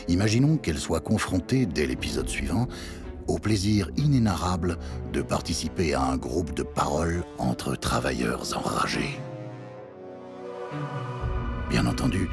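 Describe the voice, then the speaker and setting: An actor playing a wry, ominous narrator of a dark radio satire, theatrical and mock-reassuring. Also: male voice, very deep voice, gravelly, exaggerated voice. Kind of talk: scripted radio drama narration. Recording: prominent music under the voice. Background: music.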